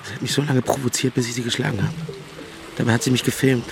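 Actor as fast-talking, resentful young man, creaky voice. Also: mid-range voice, fairly even intonation, noisy background, natural voice. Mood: agitated, defensive. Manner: hurried, self-justifying. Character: defensive, resentful young man under his mother's sway. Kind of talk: radio drama acting.

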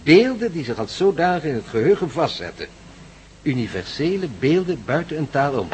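A man acting in a Dutch radio play as a learned, excitable professor who explains things in measured phrases. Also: a little high. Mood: pensive, lecturing. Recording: faintly noisy radio drama recording.

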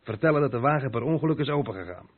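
A gruff lorry driver, played by a man, reproachful, with a middling pitch and husky tone. The sound is the narrow, dull band of an old radio play. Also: lively intonation, rapid-fire, close to an everyday voice. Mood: irritated, explaining. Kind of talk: Dutch radio drama acting.

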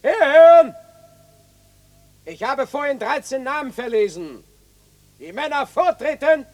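A man's voice: very high voice, expressive, takes it slowly, darkish tone, exaggerated voice. An actor playing a harsh male prison sergeant in a radio play, barking out clipped commands. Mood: barking orders. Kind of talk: 1970 German radio play acting.

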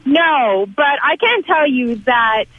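High-voiced caller on a tinny phone line. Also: female voice, expressive, slow pace, no room sound. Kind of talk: phone-in comment.

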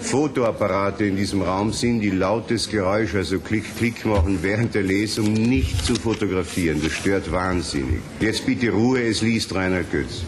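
Older tape of a guy announcing on stage, lowish voice, halting, telling people off, lots of noise. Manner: halting, admonishing. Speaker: moderator of a literary reading. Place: broadcast hall. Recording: noisy archive recording from a hall.

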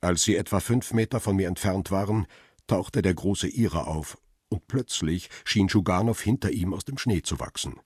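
An actor playing a photographer who tells his own tense story in a radio crime drama, measured and descriptive, with a husky voice. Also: sits low, a little mellow, dry recording, clean studio sound. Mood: tense, restrained. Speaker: narrator and protagonist.

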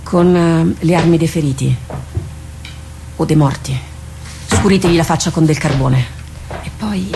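An actress playing a proud, seasoned woman warrior captain in a radio drama, wry and resolute, speaking formally and deliberately. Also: deep voice, background noise. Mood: commanding. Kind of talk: theatrical radio drama acting. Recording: studio radio drama with music underneath.

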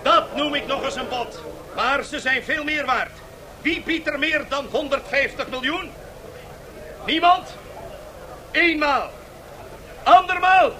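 A male actor playing a brash, mocking auctioneer in a radio play, with loud, theatrical market-barker patter. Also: very high-pitched, slow pace, pauses often. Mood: mocking, hawking. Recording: faintly noisy 1970s radio drama tape.

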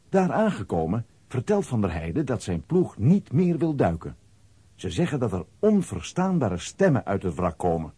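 A man with a husky voice narrating a scripted children's audio play. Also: fairly low pitch, dark tone, dry recording.

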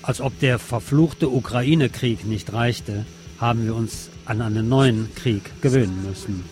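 A man's voice: mid-range voice, unhurried, a little mellow, faint music behind. A man reading scripted political commentary as a host, with sardonic asides.

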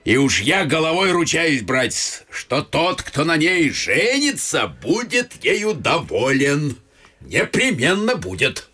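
Meddling godfather, hugely swooping husky delivery. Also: fairly high pitch, big pitch swings, slow, pushed and bright, soft background music, exaggerated voice. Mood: proud, admiring. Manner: theatrical, drawling, with muttered scornful asides. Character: blunt, meddling godfather and old family friend. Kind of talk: Russian radio play of a vaudeville comedy.

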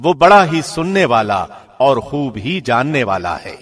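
A man's voice: mid-range voice, sing-song, fairly bright tone, long reverb tail, faint music behind. A man reading a scripture translation from a script in a formal radio presenter's style.

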